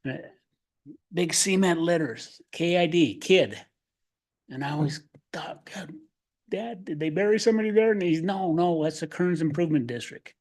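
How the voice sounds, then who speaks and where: A man's voice: fairly high pitch, normal speed, a little mellow. An older man giving a casual, rambling public comment at a council podium, folksy and unscripted. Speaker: public commenter, local resident. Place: city council chamber.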